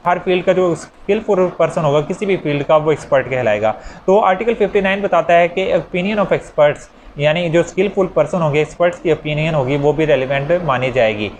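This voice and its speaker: Male law lecturer, Pakistani Urdu accent, slightly high voice, conversational teaching manner, faint background noise. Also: fairly quick, few pauses. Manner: conversational, explains with examples.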